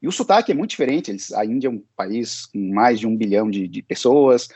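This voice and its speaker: A man's voice: fairly low pitch, expressive, brisk, darkish tone, breathy edge, dry recording. A man teaching English, answering interview questions in a hesitant way, with many fillers and restarts.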